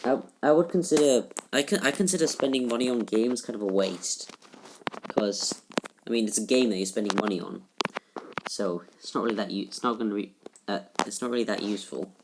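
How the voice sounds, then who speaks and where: A teenage boy giving casual gameplay commentary in a halting, rambling way, full of restarts, recorded in a shared room at home. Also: lowish voice, noisy background. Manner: subdued, somewhat flat delivery. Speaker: gaming YouTuber.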